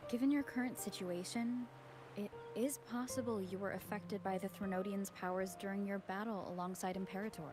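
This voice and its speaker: A woman playing a calm, level-headed young heroine in a video game, speaking matter-of-factly. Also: medium pitch, fairly quick, lightly acted. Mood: reassuring, reasoning. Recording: game music behind the voice.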